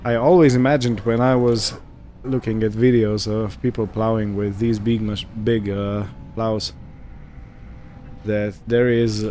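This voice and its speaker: A man's voice: fairly low pitch, level tone, unhurried, warm tone, slight background noise. A man giving casual, musing gameplay commentary, hesitant and thinking aloud as he goes. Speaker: gaming video host.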